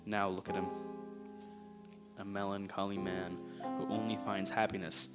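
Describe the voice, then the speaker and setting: A man's voice: sits low, flat delivery, moderate pace. A young man hosting and narrating an amateur scripted radio drama. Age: teens or young adult. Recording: amateur audio with music behind. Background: guitar music.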